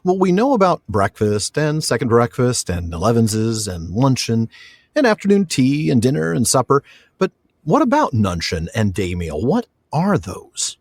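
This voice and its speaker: A man's voice: medium pitch, wildly swooping pitch, normal speed, darkish tone, dry recording. A man co-hosting a podcast in casual, jokey banter, with a giggly way of talking. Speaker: podcast co-host.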